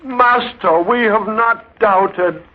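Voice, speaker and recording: An actor playing an anxious, deferential character in a fantasy radio play, with theatrical old-time delivery. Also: male voice, high-pitched, drawn-out words. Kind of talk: 1940s radio acting. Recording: tinny old radio with faint music.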